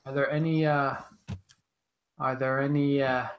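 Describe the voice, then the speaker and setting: A man's voice: mid-range voice, flat delivery, measured pace, muffled recording. A man co-hosting a podcast, chatting casually on a livestream. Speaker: podcast co-host and announcer.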